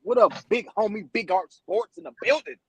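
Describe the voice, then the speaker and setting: A man's voice: sits high, lively intonation, a little fast, breathy edge, dry recording. A man with an American accent, joining a sports stream as a guest with casual, slangy banter.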